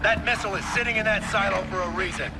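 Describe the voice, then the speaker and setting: An actor playing a stern, contemptuous middle-aged colonel in a video game cutscene, speaking in a clipped, commanding way. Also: male voice, sits high, quick pace, low-quality recording. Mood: angry, contemptuous. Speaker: military commander. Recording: loud music under the voice.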